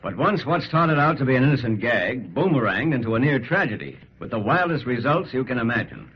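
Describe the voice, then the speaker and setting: Animated American radio sports reporter. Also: male voice, mid-range voice, fairly quick, breathy edge. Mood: dramatic storytelling. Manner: earnest, punchy, emphatic. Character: enthusiastic male sports reporter, the ballplayer's pal. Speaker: narrator and sports reporter host. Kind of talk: old-time radio narration and announcing. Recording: muffled, hissy old radio recording.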